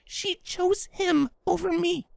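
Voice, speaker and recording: A woman's voice: very high-pitched, takes it slowly, gravelly, a little mellow, dry recording, exaggerated voice. A woman voicing a distraught young pony filly, pleading and emotional, in a dramatic audio story. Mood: hurt, bitter.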